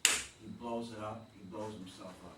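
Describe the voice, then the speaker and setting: A man's voice: fairly low pitch, steady tone, normal speed. A man explaining his play to a group, patiently walking through what happens in it. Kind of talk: post-reading discussion. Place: theatre room. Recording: roomy, distant, noisy room recording.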